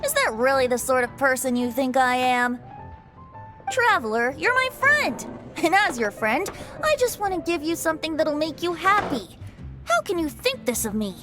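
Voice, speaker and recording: A boyish young bard from a video game, breezy and teasing. The voice is high and theatrical, lilting up and down over background music. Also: female voice, very animated, moderate pace. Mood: mock innocent. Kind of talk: video game character acting.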